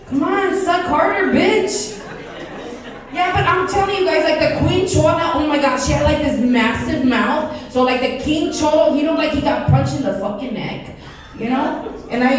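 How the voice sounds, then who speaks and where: A woman from Texas with a South Texas Chicana accent, doing a brash, giggly stand-up set full of swearing. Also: sits high. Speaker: stand-up comedian. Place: comedy club stage. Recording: echoey club from far off, loud crowd.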